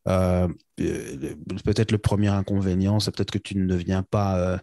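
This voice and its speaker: A man's voice: low voice, little pitch movement, moderate pace, mellow, no echo, clean studio sound. A man from Belgium, a consultant guest in an interview, talking casually and hesitantly with many fillers and restarts.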